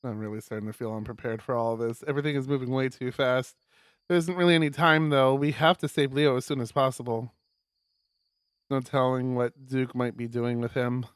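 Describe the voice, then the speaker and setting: A man streaming a visual novel, reading it aloud as expressive narration with casual asides. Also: medium pitch, brisk, clean tone, no echo, clean studio sound.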